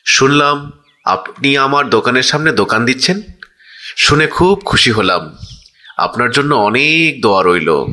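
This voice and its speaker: A man playing an honest, pious small shopkeeper in a cartoon, gentle and courteous. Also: medium pitch, lively intonation, unhurried, pauses often. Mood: humble, calm. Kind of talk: cartoon story character dialogue.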